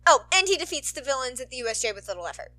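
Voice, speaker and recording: A woman reading a scripted story aloud as an expressive narrator. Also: high-pitched, rapid-fire. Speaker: narrator, podfic reader.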